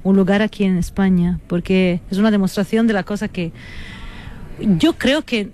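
A woman from Italy speaking Spanish with an Italian accent as a guest musician in a radio interview, earnest and reflective, often pausing and restarting as she searches for words. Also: medium pitch, steady tone, mellow, slight background noise. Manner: hesitant, searching for words, with many fillers and restarts.

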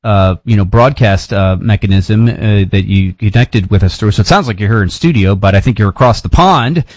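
Rambling American radio host. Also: male voice, lowish voice, normal speed, no echo. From United States. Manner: rambling, many fillers and restarts. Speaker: radio talk show host. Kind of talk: casual radio interview chat.

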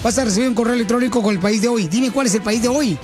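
A man's voice: very high-pitched, very fast, soft background music. A man hosting a radio call-in prize contest, chatting playfully with slangy nicknames. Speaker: radio show host.

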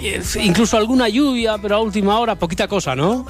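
A man hosting a casual radio show, teasing and quick to banter. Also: high-pitched, faint music behind. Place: radio studio.

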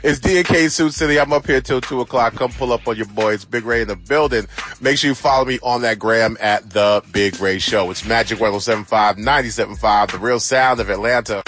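A man's voice: fairly low pitch, fairly quick, fairly bright tone, faint music behind. A man doing a loose, slangy live radio ad from a store. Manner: hype, slangy, self-mocking. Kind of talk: loose, improvised promo.

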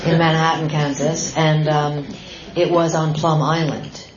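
A woman's voice: low voice, little pitch movement, measured pace. A woman author answering audience questions at a book talk, explaining points emphatically with frequent restarts. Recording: dull lo-fi live event recording.

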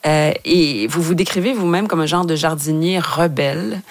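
A woman's voice: low-pitched, normal speed, no echo. A woman with a Quebec French accent interviewing a guest as a radio host, curious and conversational, reacting readily.

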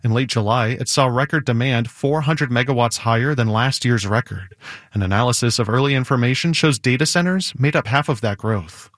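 American male news reporter, medium pitch. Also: brisk, fairly bright tone, no echo, clean studio sound. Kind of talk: scripted news narration.

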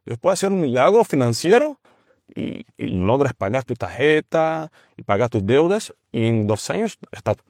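Brazilian man speaking careful, halting Spanish. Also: medium pitch, very animated, darkish tone, no echo, clean studio sound. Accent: Brazilian Portuguese. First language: Portuguese. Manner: earnest, halting, repeats words while searching for Spanish. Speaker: guest, teaching minister. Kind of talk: interview conversation on faith.

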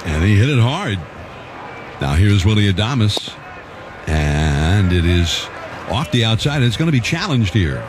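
A male play-by-play announcer calling a baseball game in a conversational, measured way. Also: noisy background. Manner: conversational, measured, calls pitches with rising excitement. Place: stadium broadcast booth.

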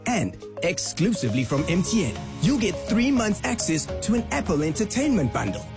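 A woman reading a radio commercial in a smooth, polished delivery. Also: medium pitch, sing-song, moderate pace. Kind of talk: commercial voice-over. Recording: voice over loud music.

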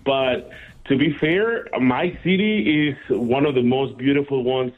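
A man's voice: medium pitch, measured pace, narrow, tinny audio. A man from Mexico calling a radio show, chatting casually and joking, laughing easily. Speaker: caller. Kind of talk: casual phone-in chat.

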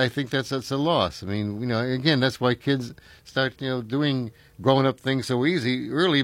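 A man's voice: mid-range voice, a bit bright, no echo. An older man, hosting a casual chat and reminiscing in a rambling way, full of fillers and restarts.

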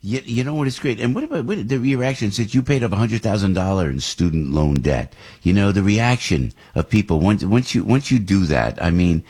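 A man hosts a studio radio talk show. His voice is husky and sits on the low side. He is eager and effusive, piling on praise for his guest. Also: expressive, brisk, hardly any pauses, darkish tone. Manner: enthusiastic, effusive, gushing with praise. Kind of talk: lively radio chat and live ad reads.

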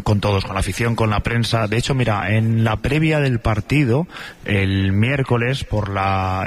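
A man with a Castilian Spanish accent, a sports journalist giving a conversational account on the radio. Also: mid-range voice. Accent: Spain, Castilian. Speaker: sports journalist reporting on football.

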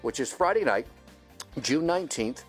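An American man speaks as a talk radio host. His voice sits at a middle pitch and moves with very lively intonation as he addresses listeners. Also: normal speed, soft background music.

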